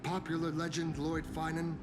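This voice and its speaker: An actor playing a smug, taunting villain in a video game, with a theatrical, mocking delivery. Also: male voice, fairly high pitch, completely flat delivery, moderate pace, noisy background, close to an everyday voice. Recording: long reverb, loud music behind.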